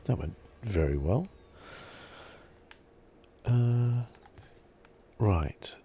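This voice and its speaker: A man giving quiet, understated ASMR commentary over a video game in an unhurried murmur. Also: on the low side, animated, slight background noise. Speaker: gaming streamer.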